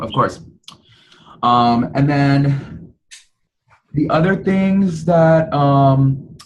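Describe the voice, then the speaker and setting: A man giving an informal report on a work conference call, speaking in a hesitant, halting way. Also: muffled recording. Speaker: committee member presenting a report. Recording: noisy call with low rumble.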